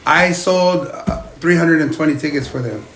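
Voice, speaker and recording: A middle-aged man from California, talking in a halting, laid-back way on a podcast, repeating his words. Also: a little high, fairly bright tone, slight background noise. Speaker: performer and podcast guest. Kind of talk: casual podcast chat. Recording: echoey room.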